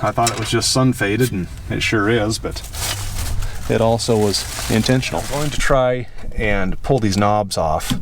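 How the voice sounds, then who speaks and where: A man with a fairly low voice gives casual, hands-on commentary as he works on a car. He is informal and opinionated. The mic sits well away from him, and there is clear noise around his voice. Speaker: host of a car restoration video. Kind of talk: casual hands-on vlog commentary while working. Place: in or at a car being worked on. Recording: distant mic, noisy background.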